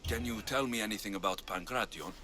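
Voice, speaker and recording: An actor playing a gruff, impatient Spartan mercenary ship captain in a video game, curt, wry and commanding. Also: male voice, on the low side, quick pace, natural voice. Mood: dry, businesslike. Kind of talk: video game character acting.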